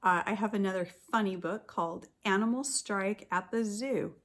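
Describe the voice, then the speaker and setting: A woman teacher reading a picture book aloud to young children, expressively and deliberately, drawing out her words. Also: mid-range voice. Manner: deliberate, animated storytelling with drawn-out words.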